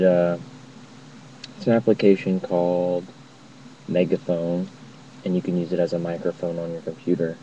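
Low, flat-voiced young man, lo-fi recording. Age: young adult. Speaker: app reviewer. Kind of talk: casual tutorial to camera. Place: indoors, dim cluttered room at home. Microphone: laptop mic, later phone headset mic. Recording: muffled, noisy webcam-style recording, distant mic.

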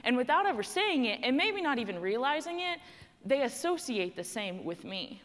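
A young woman from Texas speaks with a clear Texan accent, standing on a stage in a large hall. She tells a personal story in a conversational, emphatic way and leans on the key words. Her voice carries a long hall reverb. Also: high-pitched, sing-song, moderate pace, fairly bright tone. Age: young adult. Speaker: student panel speaker. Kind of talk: prepared personal speech.

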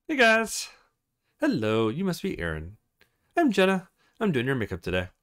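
A man voicing a friendly, brisk young woman who works as a makeup artist in a visual novel game. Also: a little high, wildly swooping pitch, a bit bright, clear, resonant tone, pitched above the performer's own voice.

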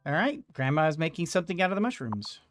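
A man's voice: a little high, sing-song, quick pace, faint music behind. A middle-aged man giving casual gameplay commentary, muttering to himself as he plays. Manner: muttering to self while playing. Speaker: game streamer. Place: indoor room at a desk.

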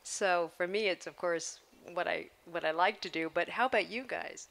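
Older woman choreographer, low bright voice. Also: moderate pace, creaky. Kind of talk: answering questions at a public talk. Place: outdoor stage before an audience. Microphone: handheld microphone.

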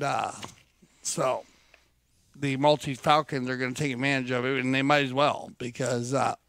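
A man's voice: fairly high pitch, a bit bright, clean tone, dry recording. A man giving chatty, folksy color commentary on a live polo match.

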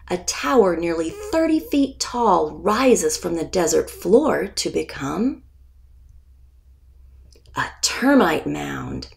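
Slow-reading woman with a slightly creaky voice. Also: a little high. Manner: deliberate, expressive, with pauses before key words. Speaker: author reading aloud. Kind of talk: scripted picture book narration for children.

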